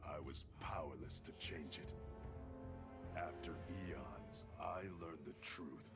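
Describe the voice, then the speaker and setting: Very deep, grim, vengeful male warrior. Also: gravelly, exaggerated voice. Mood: solemn. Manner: solemn, measured. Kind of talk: video game cutscene narration. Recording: very loud music behind. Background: music.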